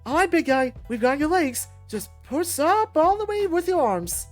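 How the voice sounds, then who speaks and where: A cheeky young male fighter in a visual novel, acted by a woman. He is upbeat and encouraging with a teasing edge, pitched fairly high over faint music. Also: sing-song, moderate pace. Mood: eager, straining.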